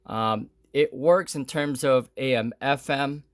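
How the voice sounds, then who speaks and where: A man with a mid-pitched voice talks slowly through an unscripted hands-on demo. He explains things in a plain, low-key way, breaking his sentences into short, halting phrases with pauses. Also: dry recording. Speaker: product demonstrator.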